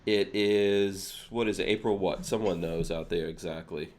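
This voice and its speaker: A man's voice: low-pitched, fairly even intonation, moderate pace. A man hosting a podcast in casual chat, with a teasing, joking way of talking. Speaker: podcast host.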